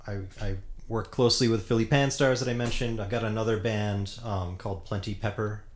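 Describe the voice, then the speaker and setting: A man in his forties, a steel pan player, chatting casually as an interview guest, relaxed and full of you-knows. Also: on the low side, a little fast, slight background noise. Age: 40s.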